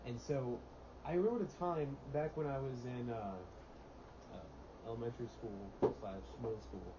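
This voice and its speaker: A teenage boy chats easily on a homemade podcast and fills his pauses with "um" and "you know." His voice sits in the middle range. A dull lo-fi mic adds a steady hiss. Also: medium pitch. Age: teens. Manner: relaxed and chatty, with many fillers. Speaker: podcast co-host. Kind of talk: casual chat.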